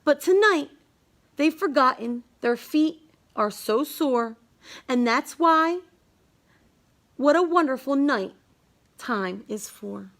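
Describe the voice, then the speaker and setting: Lady with a really high voice slowly reading a rhyming kids bedtime book out loud. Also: expressive. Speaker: storybook reader. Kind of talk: scripted read-aloud of a rhyming children's bedtime story.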